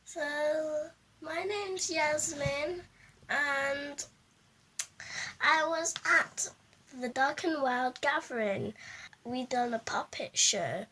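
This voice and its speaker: A female speaker giving a casual testimonial in simple words, with a halting delivery. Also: high-pitched, sharp tone. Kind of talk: spoken testimonial, casual interview answers.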